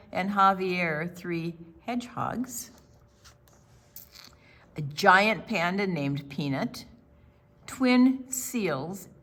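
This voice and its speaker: A woman hosting a children's storytime, reading a picture book aloud with theatrical, deliberate phrasing that draws in young listeners. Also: mid-range voice, a bit bright.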